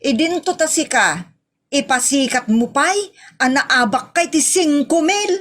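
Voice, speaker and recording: High-pitched scolding wife, played by a woman. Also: lively intonation, measured pace, background noise. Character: scolding, scornful wife nagging her bragging husband. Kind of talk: comedy audio drama acting.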